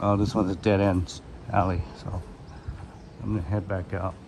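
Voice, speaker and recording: A man's voice: low voice, level tone, moderate pace, a little mellow. Man narrating a street walk over traffic. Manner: rambling, pointing things out, frequent restarts. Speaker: walking-tour vlogger. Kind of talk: casual walking-tour narration. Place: outdoors on a busy city street. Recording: clear street traffic noise. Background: passing scooters and city traffic.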